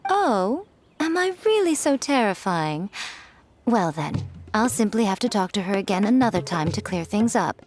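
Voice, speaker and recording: A woman voicing a sly, playful adult editor who teases others in a smooth, amused manner in a video game. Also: mid-range voice, very animated, normal speed, noisy background, natural voice. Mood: wry. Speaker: light novel editor.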